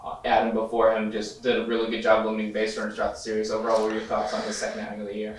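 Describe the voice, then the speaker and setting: Man, reporter, press conference questions, quick, flat, far from the mic, echoey room. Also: lowish voice, a little hiss or hum. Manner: reads prepared questions in a flat, quick delivery. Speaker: sports reporter. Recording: distant, roomy off-mic voice.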